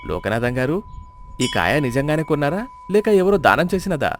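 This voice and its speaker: A man's voice: fairly high pitch, big pitch swings, normal speed, slight background noise. A man voicing a temple priest who speaks in a formal, wondering way. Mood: astonished.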